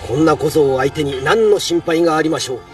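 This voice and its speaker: An actor playing an arrogant middle-aged villain chef in an anime, sneering and haughtily formal. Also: male voice, a little high, fast, close to an everyday voice. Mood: smug. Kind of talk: anime acting. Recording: distant sound under loud music.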